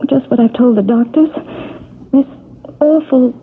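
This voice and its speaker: A woman's voice: high-pitched, measured pace, a little hiss or hum. An actress playing a desperate, anxious married woman in a 1970s radio drama, hesitant and stammering. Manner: hesitant, stammering, breaking off mid-phrase. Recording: muffled, lo-fi old radio broadcast.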